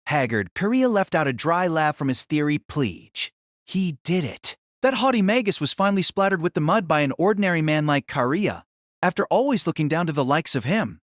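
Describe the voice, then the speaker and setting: A man's voice: fairly high pitch, expressive, a little fast, no echo, clean studio sound. A man narrating an audio drama in a dramatic, scripted style that rises and falls with the action.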